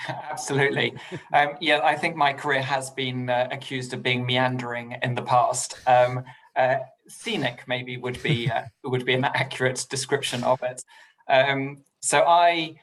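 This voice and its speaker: A man's voice: mid-range voice, little pitch movement, measured pace, fairly bright tone, slight background noise, low-quality recording. A middle-aged man from England, a podcast guest, talking politely and modestly with many ums and small laughs. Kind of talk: casual interview chat.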